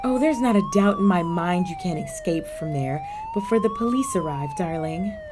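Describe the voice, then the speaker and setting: A woman's voice: mid-range voice, wildly swooping pitch, background noise. A woman playing a flirty, cunning cat burglar villain in a roleplay audio drama, speaking coyly and teasingly to the listener. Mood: cheeky, bargaining. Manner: coy, teasing, drawn-out phrasing with terms of endearment.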